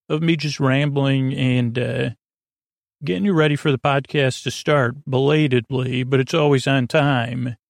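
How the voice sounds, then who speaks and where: A man with a lot of fry in his mid-pitched voice hosts a sleep podcast. He wanders from tangent to tangent, halting, restarting and interrupting himself. The sound is dry, with no room around it. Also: no echo. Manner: meandering, halting, stammering, self-interrupting tangents. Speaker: podcast host. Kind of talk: rambling sleep podcast monologue.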